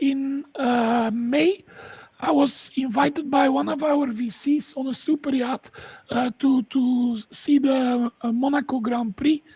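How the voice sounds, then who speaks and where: A woman's voice: high-pitched, a little mellow, dry recording, low-quality recording. A woman from Belgium with a Flemish accent, enthusiastically explaining projects as an interview guest. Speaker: podcast guest, tech entrepreneur. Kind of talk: conversational pitch of own projects.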